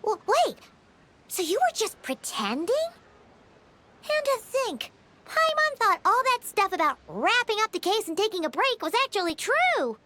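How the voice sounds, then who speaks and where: A woman voicing a tiny, excitable female companion sprite in a video game cutscene, talking about herself in the third person and quick to jump to conclusions. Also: very high voice, a little hiss or hum, exaggerated voice. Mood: surprised.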